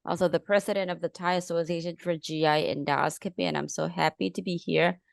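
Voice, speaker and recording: Association president, woman, low and gravelly, dry sound, gracious welcome. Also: fairly even intonation, fairly quick, no room sound. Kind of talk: formal welcome.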